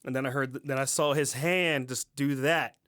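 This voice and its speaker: A man with a smooth, resonant voice co-hosting a podcast. He talks in an easygoing, agreeable way and laughs readily. The recording is dry and close, with a middle pitch. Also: steady tone, normal speed, fairly bright tone, no echo. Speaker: podcast co-host. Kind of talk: casual chat.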